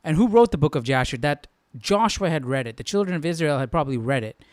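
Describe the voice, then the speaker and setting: A man's voice: fairly high pitch, lively intonation, fast talker, no room sound, clean studio sound. A man hosting a live Bible study, thinking aloud in a conversational, questioning way. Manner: conversational, questioning, repeats himself for emphasis.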